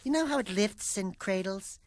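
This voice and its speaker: A man's voice: high voice, animated, moderate pace, no room sound. A man voicing a small, wisecracking furry animal sidekick in a cartoonish video game, brash, whiny and nasal.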